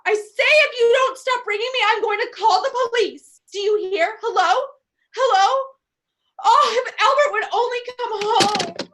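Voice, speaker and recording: A bedridden woman in a radio drama, very high and piercingly bright in voice. She is nervous and imperious, fretting and complaining with melodramatic indignation. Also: normal speed. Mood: frantic, panicky. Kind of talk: radio play acting.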